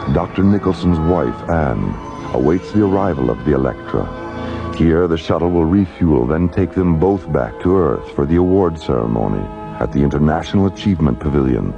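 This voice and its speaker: A man narrating a science fiction radio drama in a solemn, measured, documentary style over music. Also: sits low, vocal fry, highs cut off.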